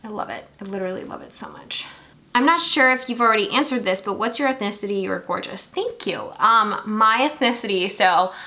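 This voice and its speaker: A woman's voice: medium pitch, fairly quick, bright, forceful tone. A young woman from Singapore chatting casually while doing her makeup, filling her talk with lots of "like". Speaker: beauty vlogger.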